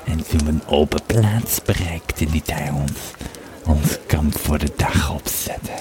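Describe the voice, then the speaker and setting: Man acting a very deep, haunted Roman legionary. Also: noisy background. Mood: troubled, weary. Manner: halting, repeating words, hushed at times. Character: weary, troubled Roman legionary recounting his tale over drink. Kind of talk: audio drama monologue and narration.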